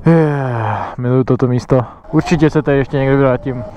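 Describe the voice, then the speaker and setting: A man's voice: medium pitch, steady tone, mellow, slight background noise. A young man from the Czech Republic chatting casually while riding an electric motorbike, laughing often and swearing as he talks. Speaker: motovlogger. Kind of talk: casual helmet-cam motovlog chat. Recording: dull lo-fi sound.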